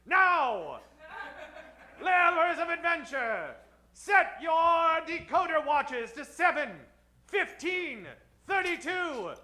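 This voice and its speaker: A man announcing and narrating an old-time radio serial, booming and theatrical, with drawn-out emphasis on key words. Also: very animated, bright, forceful tone.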